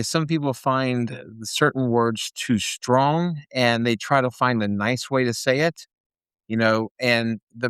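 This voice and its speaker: A man's voice: mid-range voice, a bit bright, smooth, dry recording. A man co-hosting a podcast, talking casually and at length, rambling a little and joking about himself. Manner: chatty, rambling, self-deprecating. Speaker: podcast co-host.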